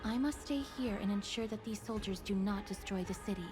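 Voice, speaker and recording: An actress playing a gentle, solemn young maiden in a video game, speaking softly, formally and with composure. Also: female voice, mid-range voice, a little fast, lightly acted. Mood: calm. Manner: soft-spoken, formal, composed. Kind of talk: video game drama acting. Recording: game music behind voice.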